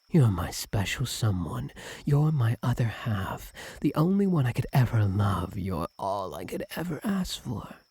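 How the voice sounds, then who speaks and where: Husky, obsessive fox-spirit husband acted by a man. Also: medium pitch, warm tone, no room sound, heavily acted. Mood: adoring. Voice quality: breathy, husky, at times whispered. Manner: tender, clingy, sweetly menacing. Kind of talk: intimate ASMR roleplay. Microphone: close, dry microphone.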